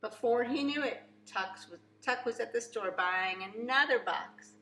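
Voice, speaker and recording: A woman reads a children's story aloud like a preschool teacher, with expressive, emphatic, theatrical phrasing. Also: on the high side, measured pace. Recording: echoey room, faint background noise.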